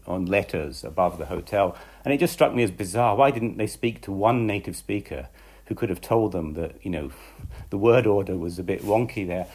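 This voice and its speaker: A man being interviewed as a magazine writer, answering reflectively and with amusement, pausing on ums. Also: fairly low pitch, breathy edge.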